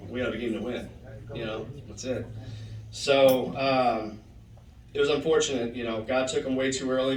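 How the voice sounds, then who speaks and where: A man's voice: medium pitch, a little hiss or hum. A man giving a heartfelt tribute to a former teammate, telling stories and quoting him. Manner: storytelling, animated quoting. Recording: roomy hall, voice from far off.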